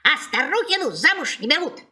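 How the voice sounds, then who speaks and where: A woman's voice: sits high, big pitch swings, a little fast, pushed and bright, full character voice. A woman voicing a small, cheeky, stubborn dog that yaps and chants in a fairy-tale audio play. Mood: cheeky, taunting. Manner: yapping, chanting.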